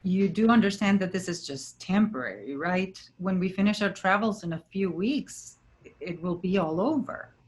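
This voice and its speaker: A woman's voice: mid-range voice, lively intonation, moderate pace, natural voice. A woman playing the kindly but firm leader of travelling actors in a staged play reading. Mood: solemn, welcoming.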